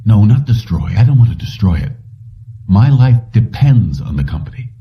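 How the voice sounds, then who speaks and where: A man's voice: on the low side, sing-song, moderate pace, far from the mic, highs cut off. A man reading a determined, calculating fugitive in an audiobook, speaking insistently and explaining himself. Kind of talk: audiobook character dialogue.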